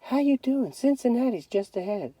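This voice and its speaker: A man reading a children's novel aloud as a firm, no-nonsense mother who gently chides her family. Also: very high voice, lively intonation, normal speed, breathy edge, no room sound, pitched above the performer's own voice, full character voice. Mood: soft, concerned.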